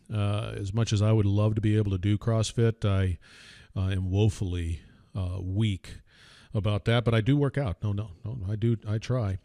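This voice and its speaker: A man hosting an industrial podcast, introducing a guest in a big, energetic voice full of fillers and restarts. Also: on the low side, no echo, studio quality. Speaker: podcast host. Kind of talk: casual podcast intro and interview.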